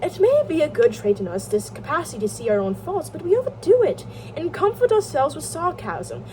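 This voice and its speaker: A woman's voice: very high voice, dark tone, slight background noise, voice pushed up, rough recording. A woman reading an audiobook, voicing a patronizing, opinionated educated elder brother in a British accent, with pompous emphasis. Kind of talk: amateur audiobook character reading.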